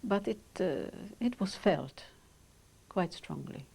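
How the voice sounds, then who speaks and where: An older woman whose first language is Hungarian, speaking in measured, reflective sentences with pauses as she is interviewed about her childhood. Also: lowish voice, lively intonation, vocal fry, dark tone, husky. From Romania. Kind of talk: oral history interview.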